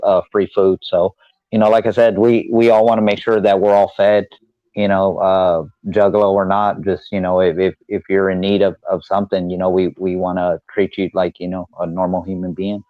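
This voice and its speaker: A man's voice: deep voice, level tone, normal speed, no echo, muffled recording. A man co-hosting an advice show, chatting casually in a rambling, hesitant way and repeating himself. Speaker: advice call-in show co-host. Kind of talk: casual chat.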